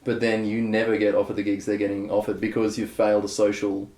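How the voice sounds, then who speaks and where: A young man with a fairly low voice chats openly in an interview, wandering through his thoughts with plenty of fillers and false starts. The room around him is echoey and hollow. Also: monotone, fast, darkish tone. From Australia. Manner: rambling, candid, many fillers and restarts. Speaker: guest bass player. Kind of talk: casual interview chat.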